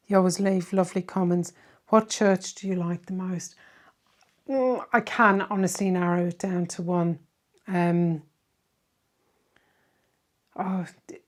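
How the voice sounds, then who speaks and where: A middle-aged woman with a softened Irish accent, chatting casually as she answers viewer questions. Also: medium pitch. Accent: Irish, softened by decades in southern England. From Ireland. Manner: chatty and warm-hearted, many "um"s and tag questions. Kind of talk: casual Q&A chat.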